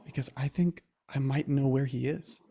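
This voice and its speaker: Man acting a young guy, middle-pitched, guarded, earnest, hesitant phrasing, contemporary thriller audio drama. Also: steady tone, moderate pace, warm tone, lightly acted. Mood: hesitant, secretive.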